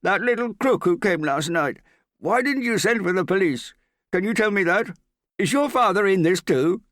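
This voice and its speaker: A man's voice: on the low side, unhurried, gravelly, no echo, clean studio sound, voice pushed up. A man voicing a paranoid, frightened, hard-drinking man in hiding, agitated and pleading, in an audiobook. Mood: angry shouting. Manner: agitated, suspicious, pleading, prone to shouting. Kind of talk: audiobook character acting.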